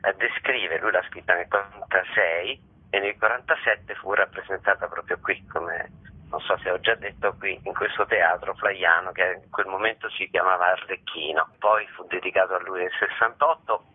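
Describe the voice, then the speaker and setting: A man with a low, rough-edged voice talks spontaneously as a radio interview guest. He is discursive and emphatic, often repeating himself. The line sounds like a narrow telephone connection. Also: steady tone, normal speed. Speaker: theatre director, interview guest.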